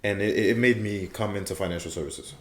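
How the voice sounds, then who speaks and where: A young man from Haiti, a guest on a podcast, talking in a relaxed, affirming way and repeating his key phrases. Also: on the low side, brisk. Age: young adult. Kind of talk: casual interview chat. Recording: roomy echo.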